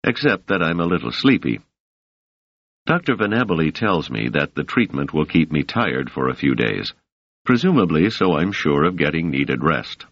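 A man's voice: rumbling low, very animated, normal speed, fairly bright tone, dry recording, full character voice. A man reading an audiobook voices a defensive, tired male scholar in close to his own voice. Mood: weary. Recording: dull, dry, lo-fi sound.

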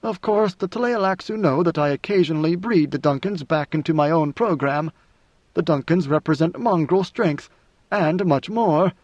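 A man voicing an ancient, brooding male God Emperor who speaks in a slow, measured way, with weary authority. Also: fairly high pitch, darkish tone, no echo, close to an everyday voice. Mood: musing. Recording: dry, slightly muffled recording.

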